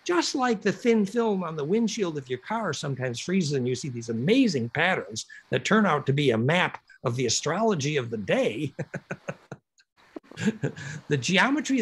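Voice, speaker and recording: A man giving an online lecture on a video call, explaining at length with lots of fillers in a raspy voice. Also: high-pitched. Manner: animated, rambling explanation with many fillers.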